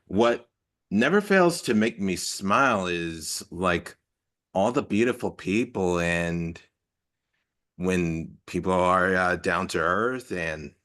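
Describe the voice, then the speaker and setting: Deep slow-talking man on a video call. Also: a bit bright. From Ohio, United States. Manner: hesitant, many fillers. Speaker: participant. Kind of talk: casual self-introduction.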